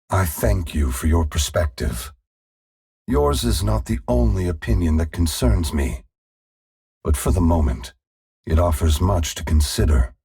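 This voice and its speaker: Deep, gravelly, solemn ancient god. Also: male voice, a little mellow, full character voice. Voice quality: gravelly, husky. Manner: measured, formal, grave, patient delivery. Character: solemn, gentle, ancient male god, wise and compassionate. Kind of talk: scripted video game character dialogue.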